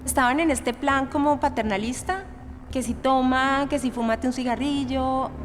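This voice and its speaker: An actress playing a protective, concerned young witness in an audio drama, talking in an earnest, conversational way. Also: female voice, high voice, normal speed, soft background music, lightly acted. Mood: earnest recalling.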